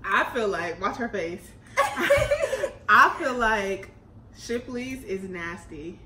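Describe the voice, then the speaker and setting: A young woman in her twenties from Houston, talking in a relaxed, chatty way and laughing easily. Her mid-height voice sits in a roomy, echoey space. A faint hiss runs underneath. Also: mid-range voice, takes it slowly. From Houston, Texas, US. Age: 20s. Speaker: YouTube co-host. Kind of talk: casual chat during a mukbang Q&A. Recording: echoey room with faint noise.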